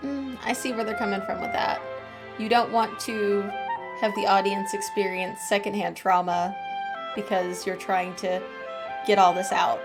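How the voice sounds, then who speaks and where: A woman's voice: medium pitch, steady tone, unhurried. A woman giving casual, sympathetic commentary as she plays through a game. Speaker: game streamer. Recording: game music behind the voice.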